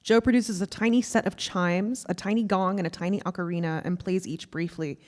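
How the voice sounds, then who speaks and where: A woman's voice: on the low side, fairly even intonation, a little fast. A woman reading scripted stage directions as narration at a live staged play reading. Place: theatre stage. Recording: reverberant theatre hall recording.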